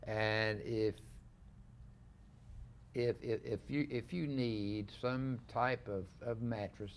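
Older man, gravelly host voice. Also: medium pitch, fairly even intonation, a little hiss or hum. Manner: rambling, halting, many restarts. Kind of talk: casual studio interview. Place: small living-room studio set.

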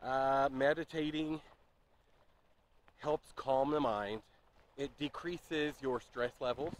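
A man's voice: mid-range voice, slow pace, poor audio. A man in his thirties giving casual, rambling advice while walking on a park path, filming himself at arm's length. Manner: relaxed, rambling, with fillers and restarts. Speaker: vlogger. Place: outdoors on a park greenway, walking. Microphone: handheld camera at arm's length. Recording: outdoor camera audio with clear ambient noise.

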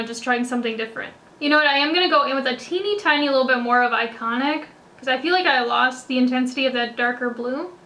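A young woman with a high, bright voice talks through a makeup tutorial. She is a little raspy after hiccups and chatty, with casual fillers everywhere, recorded indoors close to the camera. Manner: chatty, many "like" and "kinda" fillers. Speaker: beauty vlogger. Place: indoors before a plain backdrop.

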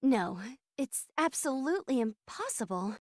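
A high, swooping, haughty princess voice for an anime-style game. She is prim and theatrical, recorded dry with faint music behind. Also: very animated, normal speed, no echo. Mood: flustered, smitten. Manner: prim, dramatic. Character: haughty, lovestruck young demon princess.

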